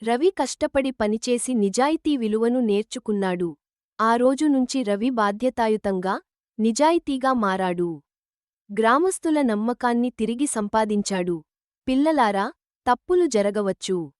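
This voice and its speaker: A woman's voice: a little high, animated, measured pace, darkish tone, no echo, clean studio sound. A woman reading a scripted children's moral story as the narrator.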